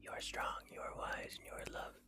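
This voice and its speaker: A man hosting a livestream, whispering gently and offering soft, comforting affirmations in an ASMR style. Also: fairly quick. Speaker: livestream host.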